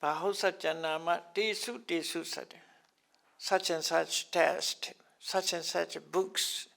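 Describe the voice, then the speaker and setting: A slightly high, gravelly older man who speaks English as a second language, teaching a class. He talks very slowly, stops and repeats each term, and chuckles often. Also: fairly even intonation, fairly bright tone. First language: not English. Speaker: Buddhist teacher. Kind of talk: explaining scriptural terms to a class.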